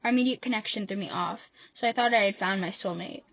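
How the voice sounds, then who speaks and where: A woman's voice: mid-range voice, fast talker, fairly bright tone, highs cut off. A teenage girl reading her own personal essay aloud in a playful, wry way, as a voice-over.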